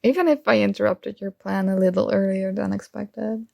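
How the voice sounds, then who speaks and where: Woman acting young female roommate, shy and teasing, smitten, conversational ASMR roleplay, touch of vocal fry, close dry sound. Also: medium pitch, a little mellow, no room sound. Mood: playful teasing. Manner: playful, conversational, halting.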